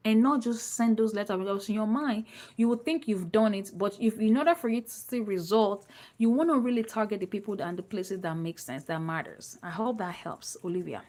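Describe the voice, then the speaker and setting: A woman's voice: medium pitch, a little fast, a little mellow. A woman with a Nigerian accent and a mid-range voice speaks as a business coach. Her delivery is informal and unscripted, like a live online class. She leans on key phrases and repeats them for emphasis.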